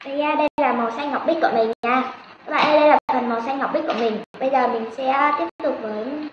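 A woman's voice: sits high, fast. A young woman chatting casually to viewers as she walks them through a handmade craft step by step. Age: young adult. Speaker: craft vlogger. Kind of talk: casual craft tutorial chat. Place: small indoor room with foam wall panels. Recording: dull, roomy sound with clear background noise.

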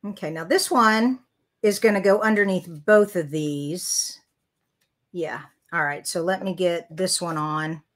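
A woman's voice: on the low side, unhurried. An older woman hosting a live card-making tutorial, chatting as she works and reading viewer comments aloud. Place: craft room at a desk.

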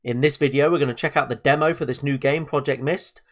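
A man's voice: mid-range voice, fairly even intonation, fast. Chatty man, bit bunged up. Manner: chatty, hesitant, lots of fillers and self-talk. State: slightly bunged up from a cold. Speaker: gaming video host. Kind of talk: casual let's-play commentary.

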